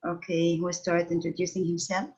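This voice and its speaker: A woman's voice: fairly low pitch, completely flat delivery, a little fast, darkish tone. A middle-aged woman teaching an online English class over a phone video call, patiently questioning her students. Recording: dull lo-fi call audio.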